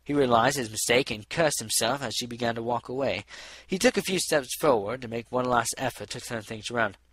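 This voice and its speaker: A man's voice: mid-range voice, a little fast, fairly bright tone, husky. A man narrating a story as an audiobook reader, in scripted prose narration.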